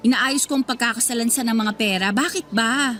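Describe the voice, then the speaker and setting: A snippy young Filipino cashier in a radio drama. Her voice sits fairly high and she dodges questions with defensive, sarcastic retorts. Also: brisk, bright, forceful tone, soft background music. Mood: evasive, irritated. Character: defensive young female supermarket cashier avoiding her crush.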